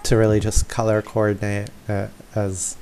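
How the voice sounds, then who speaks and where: A man's voice: lowish voice, monotone, moderate pace, a little mellow. A man giving a casual, informal and slightly apologetic walkthrough commentary on a video game. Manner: informal, apologetic, self-deprecating. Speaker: gaming video creator.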